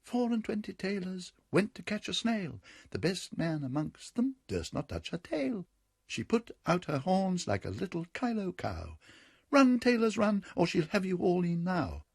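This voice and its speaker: A man voicing a chorus of tiny gleeful mice, chanting nursery rhymes in a squeaky singsong. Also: fast, gravelly, no echo, studio quality. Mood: gleeful singing.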